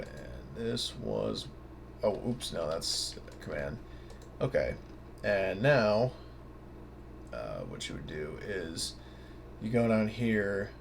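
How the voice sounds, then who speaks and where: Low-pitched gravelly man, informal tutorial. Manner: casual, rambling, thinking aloud, mildly sweary. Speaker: tabletop game guide presenter. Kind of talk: informal off-the-cuff tutorial walkthrough. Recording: noticeable background noise.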